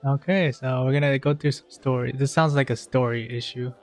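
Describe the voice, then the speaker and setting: A man's voice: mid-range voice, steady tone, a little fast. A man giving casual, offhand gameplay commentary with game music behind him. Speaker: gamer playing through a game's story quests. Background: video game music.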